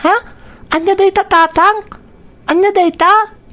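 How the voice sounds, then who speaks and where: A woman's voice: extremely high pitch, fairly even intonation, measured pace, a bit bright. A woman playing a cheerful, sweet young son in an Ilocano radio drama. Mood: excited, teasing.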